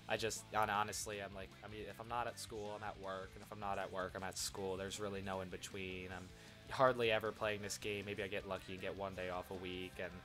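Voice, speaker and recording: A man's voice: lowish voice, fairly bright tone. A young man with a stuffy head cold, talking casually and rambling with many fillers as he hosts his gaming channel from a desktop mic at home. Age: young adult. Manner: rambling, lots of fillers and restarts. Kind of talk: casual commentary over gameplay. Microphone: desktop USB microphone.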